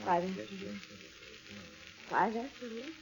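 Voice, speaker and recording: An actress playing a haunted, frightened wife in a 1940s radio suspense drama, pleading and repeating herself. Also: female voice, medium pitch, a little slow, creaky, background noise. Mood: anxious, alone. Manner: tremulous, pleading, repeats phrases. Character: terrified, haunted wife, fearful and despairing. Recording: muffled old radio recording, crowd behind.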